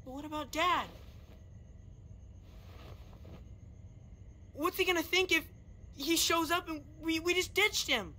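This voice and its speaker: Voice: very high voice, moderate pace, sharp tone. A performer playing a stubborn, defensive young boy in a video game drama, argumentative with hesitant stammers. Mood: upset, pleading.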